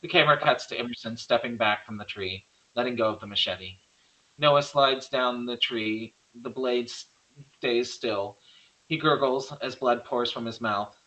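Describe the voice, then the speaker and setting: A man's voice: medium pitch, moderate pace, sharp tone, muffled recording. A man narrating stage directions from a script at a table read, reading plainly and occasionally stumbling over words.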